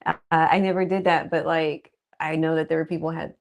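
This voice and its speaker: A woman with a soft voice, a guest actress chatting casually and laughing often. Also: deep voice, little pitch movement, fairly quick. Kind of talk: casual interview chat.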